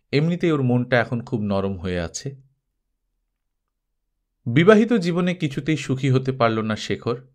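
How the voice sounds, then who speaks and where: A man reading literary fiction aloud as a story narrator, with an expressive, dramatized delivery. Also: mid-range voice. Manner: expressive, acts out dialogue.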